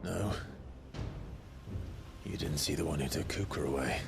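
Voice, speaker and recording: Gravelly, brooding vengeful warrior. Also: male voice, rumbling low, measured pace, music in the background, full character voice. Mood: grim, weary. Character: gruff, vengeful male warrior, brooding and anguished. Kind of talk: dramatic video game cutscene acting.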